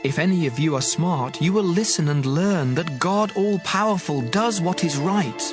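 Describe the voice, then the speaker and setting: Over a music bed comes a somewhat high-pitched young man. He is earnest and emphatic, rebuking his elders with declamatory conviction as in an audio Bible drama. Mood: firm, admonishing. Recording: music behind the voice.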